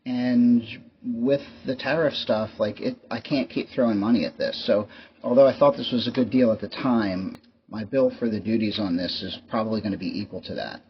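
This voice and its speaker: A man hosting a casual show-and-tell vlog, talking in a rambling, wry, resigned way. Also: lowish voice. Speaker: YouTube host. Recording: muffled lo-fi mic with clear background noise.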